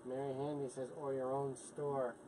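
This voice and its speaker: A man's voice: medium pitch, flat delivery, moderate pace, warm tone, low-quality recording. A man in his sixties helping on a casual livestream, reading viewer comments aloud and adding brief, plainspoken remarks. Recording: faint room noise.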